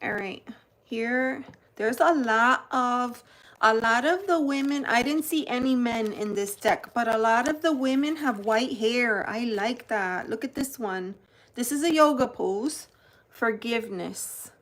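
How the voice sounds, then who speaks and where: A woman's voice: fairly high pitch, fairly bright tone, low-quality recording. A woman from New Jersey, hosting a live-stream card unboxing and greeting viewers by name. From New Jersey, United States. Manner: chatty, greets viewers by name while showing cards; slow, unhurried delivery. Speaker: tarot channel host.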